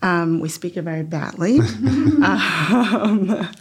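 A woman in her forties from Taiwan, a native Mandarin speaker, talking unhurriedly and thoughtfully as a podcast guest, with soft hesitations. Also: on the low side, lively intonation, takes it slowly, slightly rough. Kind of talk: casual interview chat.

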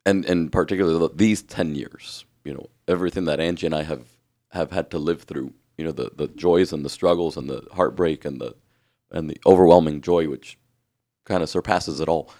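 A hoarse man with a raspy voice, co-hosting a podcast in earnest, reflective casual chat. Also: very deep voice, brisk, dark tone. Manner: earnest, reflective, restarts often. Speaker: podcast co-host.

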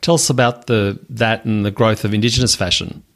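A man hosting a podcast interview, asking his guest questions in a brisk way. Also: lowish voice, steady tone, a bit bright.

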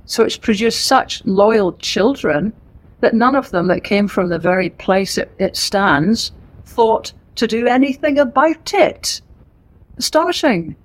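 A middle-aged woman with a Northern Irish accent, an author giving a lively, emphatic book talk to a live audience in a library. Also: fairly low pitch, rough recording. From Northern Ireland. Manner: lively, emphatic and conversational, with asides. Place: library event room.

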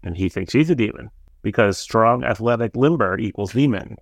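A man's voice: fairly low pitch, animated, moderate pace, dry recording, clean studio sound. A man co-hosting a podcast, chatting casually about a TV episode and jumping into pop culture references. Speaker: podcast co-host. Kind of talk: casual chat recapping a TV episode.

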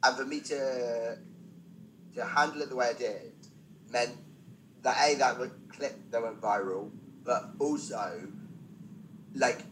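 A man's voice: medium pitch, slow, pauses often, a bit bright, slight background noise, rough recording. A man speaking as a podcast guest, a stand-up comedian telling anecdotes conversationally with self-deprecating, wry asides.

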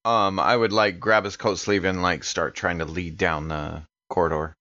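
A man's voice: low voice, level tone, moderate pace, pushed and bright, no echo, lo-fi sound. An American man chatting casually as a player in a tabletop roleplaying game.

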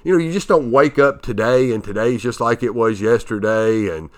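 A man in his fifties hosting a motivational podcast, a bit nasal from allergies, talking in a halting way with many fillers and restarts. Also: medium pitch. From Tennessee. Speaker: podcast host.

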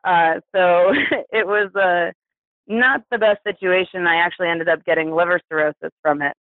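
Through a tinny, phone-like radio sound with very little room to it, an American guest in their thirties talks candidly. The voice is mid-pitched, and the delivery is hesitant, personal storytelling. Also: steady tone, moderate pace, no echo. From New Mexico, United States. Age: 30s. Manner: hesitant, chuckles through hard subjects. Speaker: interview guest, poet.

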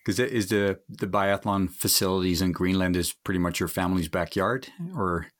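A man's voice: deep voice, flat delivery, normal speed. A man co-hosting a podcast, asking curious, meandering questions in a casual interview. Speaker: podcast co-host.

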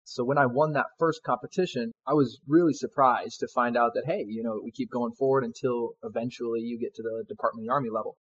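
A man's voice: medium pitch, fairly even intonation, fast, few pauses, fairly bright tone, slightly rough. A man in his twenties, a soldier guest answering interview questions in an earnest, polite way. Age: 20s. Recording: dull lo-fi sound.

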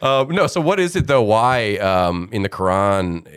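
Deep-voiced curious male host. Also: big pitch swings, a little slow, clean tone, no room sound. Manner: curious, laughs often. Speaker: podcast host. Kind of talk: casual interview chat.

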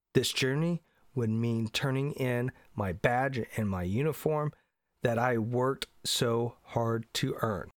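A man's voice: medium pitch, dry recording, clean studio sound. Congested man hosting a podcast. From Indiana. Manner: earnest, emphatic. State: congested from allergies. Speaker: podcast host. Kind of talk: solo podcast monologue.

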